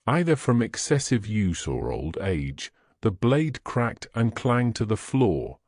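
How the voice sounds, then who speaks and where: Male narrator for fantasy fiction: unhurried, very expressive intonation, dry close sound. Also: on the low side, dry recording. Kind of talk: scripted storytelling narration.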